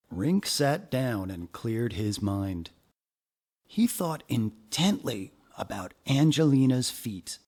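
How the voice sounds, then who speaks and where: Animated man reading a children's storybook. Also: medium pitch. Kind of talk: expressive children's storybook narration.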